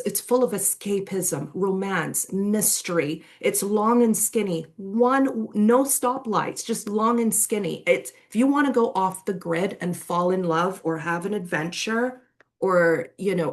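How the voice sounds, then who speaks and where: A woman's voice: medium pitch, slow. A woman from Barbados and England giving an effusive, informal sales presentation in colorful, colloquial wording. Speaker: resort sales and marketing director, guest presenter.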